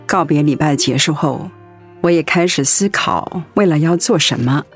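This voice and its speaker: A steadfast, grateful widow in a radio drama, with a slightly low voice. She speaks tenderly and earnestly over soft music. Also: normal speed, breathy edge. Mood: reflective. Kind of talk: Mandarin radio drama acting.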